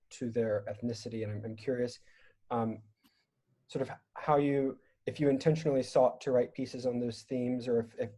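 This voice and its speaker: A man's voice: mid-range voice, mellow, slightly rough. A man moderating a casual discussion over a video call, asking questions in a tentative, meandering way. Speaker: panel moderator. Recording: dull, lo-fi video call audio.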